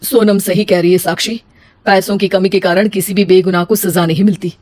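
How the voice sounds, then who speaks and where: A woman's voice: mid-range voice, fairly even intonation, a little fast, darkish tone, natural voice. A woman voicing a caring, responsible elder brother in an emotional cartoon story. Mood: reassuring. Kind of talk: melodramatic cartoon story acting.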